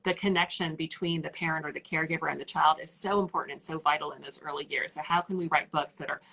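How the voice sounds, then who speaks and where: A woman author talking casually in a live-stream interview over a video call, animated and full of "like" fillers. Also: low voice, fast talker, creaky, husky, rough recording. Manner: animated and talkative, many "like" fillers. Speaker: author and illustrator guest.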